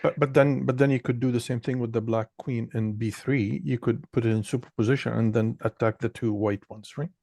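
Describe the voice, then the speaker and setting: A man's voice: medium pitch, fairly even intonation, fairly quick, creaky, hardly any pauses, dark tone, no echo. A man thinking aloud casually as a learner, hesitant and full of questions about the rules.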